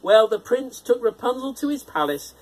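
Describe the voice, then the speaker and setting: A storyteller narrating a children's fairytale in an expressive, theatrical manner. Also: mid-range voice, moderate pace, low-quality recording.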